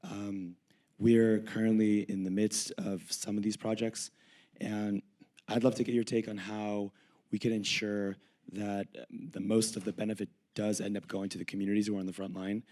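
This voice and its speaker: A hoarse man in the audience asks a long, earnest question. His voice is fairly low and stays flat in pitch. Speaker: audience member asking a question.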